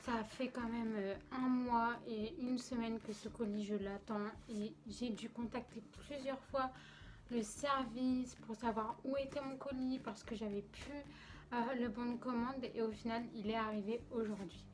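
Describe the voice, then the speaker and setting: A woman's voice: a little high, steady tone, normal speed. Chatty young Frenchwoman unboxing, slight cold. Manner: chatty, filler-heavy, giggly. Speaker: beauty vlogger. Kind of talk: casual unboxing chat.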